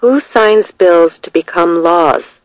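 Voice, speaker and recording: A narrator reading scripted test questions and short answers in a measured, deliberate way. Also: medium pitch, smooth, no room sound, rough recording.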